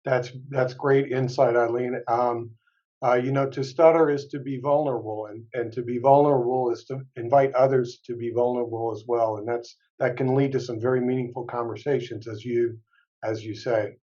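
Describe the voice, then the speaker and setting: A man's voice: medium pitch, flat delivery, normal speed, lo-fi sound. A man moderating a panel over a video call, thanking speakers and passing turns in an encouraging way. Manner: warm and encouraging, with fillers.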